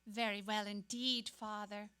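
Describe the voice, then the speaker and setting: Woman acting a bright, genteel society wife. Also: medium pitch, little pitch movement, unhurried, smooth. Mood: polite. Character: polite society wife greeting a priest. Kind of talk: dramatic literary reading on stage.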